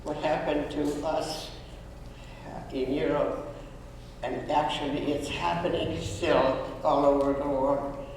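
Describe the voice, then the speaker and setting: A woman speaks slowly and earnestly, pausing and restarting her phrases. She is heard from a distance across a big, echoing school hall. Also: deep voice, fairly even intonation, a little mellow, slight background noise. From Hungary. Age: 90s. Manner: earnest, halting, with frequent restarts. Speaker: Holocaust survivor speaking to students. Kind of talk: personal testimony talk. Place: school room or auditorium. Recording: echoey hall, voice from far off.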